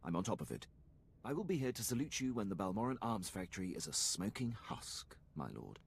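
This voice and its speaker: An obsequious Imperial officer in a video game. The voice is deep and husky, formal and precise, with lively, eager inflection. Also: male voice, a little fast, full character voice. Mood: dutiful. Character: eager, deferential male Imperial military officer.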